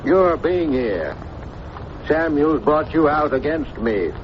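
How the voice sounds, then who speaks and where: A man's voice: a little high, big pitch swings, slow pace. A prickly, proud village lawman in an old radio show. His talk is plain and homespun with some bluster. The sound is dull, with light noise. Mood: resentful, blustering. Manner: folksy, blustery, plain-spoken. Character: proud, folksy, touchy small-town honorary sheriff. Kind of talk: 1950s radio drama acting. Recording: muffled old radio recording, faint noise.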